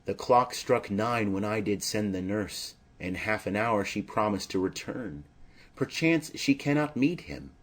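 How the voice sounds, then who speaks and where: Classic play audiobook: lovesick, impatient young girl, poetic monologue style, lowish pitch, measured pace man performs. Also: expressive. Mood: impatient, yearning. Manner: yearning, restless, poetic.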